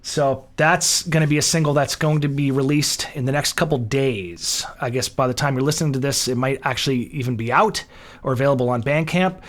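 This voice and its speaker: A man's voice: mid-range voice, brisk. A man co-hosting a podcast in a casual, conversational style. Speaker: podcast co-host.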